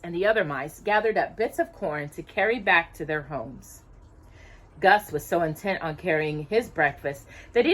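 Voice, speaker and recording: A woman reading a children's storybook aloud in an expressive, animated storyteller's manner. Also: fairly low pitch. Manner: animated, asks listeners questions.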